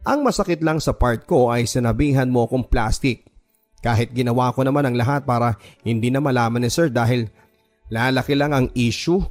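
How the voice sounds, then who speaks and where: A man's voice: mid-range voice, brisk, natural voice. A man voicing a hurt, angry young student in an emphatic, emotional radio drama style, over music.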